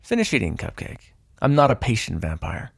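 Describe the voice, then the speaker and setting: Man acting as smug male vampire, ASMR roleplay, gravelly, swooping intonation, sardonic, flirtatious. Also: fairly low pitch, huge pitch swings, normal speed, dry recording, studio quality, heavily acted. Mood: smug, bargaining. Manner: sardonic, flirtatious, swearing, sighing. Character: smug, teasing male vampire, possessive but fond.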